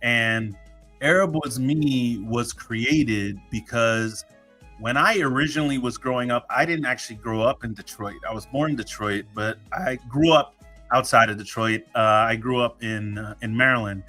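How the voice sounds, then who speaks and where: A man's voice: mid-range voice, normal speed, bright, forceful tone, faint music behind. A man hosting his own podcast, chatting casually and joking, with many fillers and restarts. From United States. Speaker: podcast host. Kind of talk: casual solo podcast talk.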